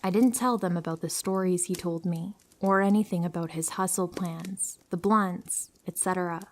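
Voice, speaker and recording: A woman calmly reading true horror stories aloud as a narrator, in an even storytelling manner. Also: lowish voice, moderate pace, slight background noise.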